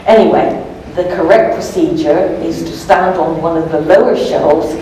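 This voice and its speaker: A woman author reading her comic novel aloud to a live event, with a dry, deliberate delivery. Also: on the low side. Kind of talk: live storybook reading, comic first-person narration. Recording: very echoey, distant mic, noisy room.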